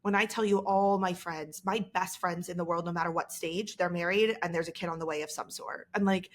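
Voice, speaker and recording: A woman's voice: lowish voice, steady tone, fairly quick. Candid woman in her early thirties, podcast host. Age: 30s. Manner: candid, storytelling, self-deprecating. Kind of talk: casual chat.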